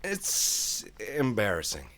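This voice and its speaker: A man's voice: lowish voice, wildly swooping pitch, slow pace, crowd noise, exaggerated voice. A man playing a sheepish, wry young wizard's apprentice, narrating a comic audio drama in a theatrical, hesitant manner. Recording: clearly noisy background.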